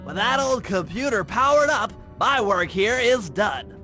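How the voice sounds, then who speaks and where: A man's voice: sits high, animated, measured pace, heavily acted. A man voicing a nerdy, fussy young mastermind in a cartoon video game, briefing precisely in a nasal voice. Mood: businesslike. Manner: precise, wordy mission briefing. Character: nerdy young brainy male gadget mastermind, fussy and eager. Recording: loud music behind the voice.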